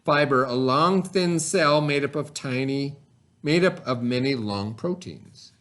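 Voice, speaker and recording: A man teaching by reading aloud to pupils, pausing to explain in casual asides and check that they follow. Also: medium pitch, animated, fairly bright tone. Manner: pauses to explain, checks in with "okay?".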